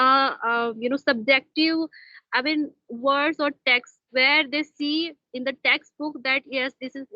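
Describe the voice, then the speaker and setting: A woman's voice: high-pitched, dry recording, highs cut off. A woman with an Indian accent who hosts an online English practice talk, speaking hesitantly with many fillers and restarts. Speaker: host interviewing a fellow learner.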